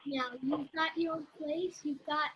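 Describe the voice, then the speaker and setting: A woman's voice: sits high, steady tone, unhurried, muffled recording, heavy background noise. A woman reading the part of a sardonic but supportive young woman in a sitcom table read. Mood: warm, encouraging. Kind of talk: amateur table read acting.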